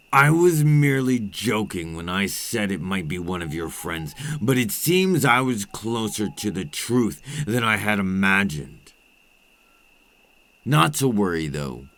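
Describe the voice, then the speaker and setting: A man playing a menacing, centuries-old male vampire in an ASMR roleplay drama, speaking in a theatrical, condescending, deliberate way with old-fashioned phrasing. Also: lowish voice, no room sound, exaggerated voice. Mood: cold, taunting.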